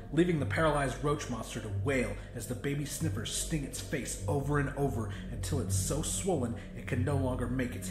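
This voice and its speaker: A man narrating a first-person horror audiobook in an intense, emphatic, dramatic style. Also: mid-range voice. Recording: roomy echo, music behind.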